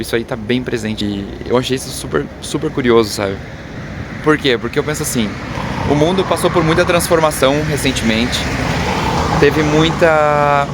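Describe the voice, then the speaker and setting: A man with a Brazilian accent chatting casually to viewers as a travel vlogger, in a hesitant, rambling way. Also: fairly low pitch. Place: outdoors on a city street. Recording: loud traffic and street noise.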